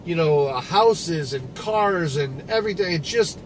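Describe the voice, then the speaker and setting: A rambling man with a fairly high voice chats with his viewers while he drives. The recording is noisy, with clear road and car sound underneath. He keeps restarting his sentences as he talks. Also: animated, unhurried. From Michigan, USA. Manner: rambling, conversational, with frequent restarts and fillers. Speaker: livestream host. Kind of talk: casual live-stream talk while driving. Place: inside a car, driving.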